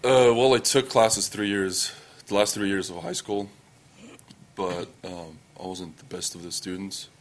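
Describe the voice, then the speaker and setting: Low-voiced young man, Estonian accent. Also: steady tone. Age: young adult. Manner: halting, many fillers and restarts, low-key. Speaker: athlete at a press conference. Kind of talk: press conference answers.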